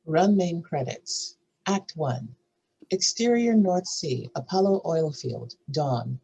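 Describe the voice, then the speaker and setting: A man's voice: a little high, expressive, slow, gravelly, dark tone, slightly rough, low-quality recording. A man narrating screenplay stage directions at a table read.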